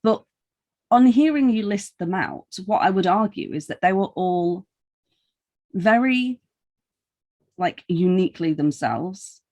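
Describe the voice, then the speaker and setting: A woman's voice: fairly low pitch, darkish tone. A woman interviewing casually on a podcast, feeling her way through wordy questions.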